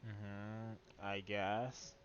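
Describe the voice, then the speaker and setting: A man's voice: lowish voice, completely flat delivery, drawn-out words, smooth, highs cut off. A man streaming a video game with casual, sarcastic, teasing asides at the story. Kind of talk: casual live commentary over gameplay.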